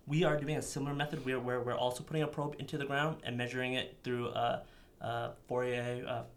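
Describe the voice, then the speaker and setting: A young man lays out a technical method step by step in an interview. His voice sits at a middle pitch and stays flat and even. He speaks methodically, with only occasional fillers. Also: a little fast. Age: young adult. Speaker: PhD student researcher.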